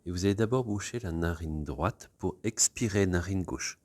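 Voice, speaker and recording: A man leading a yoga class, giving calm, unhurried guided instructions for body awareness and breathing. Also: low-pitched, dry recording.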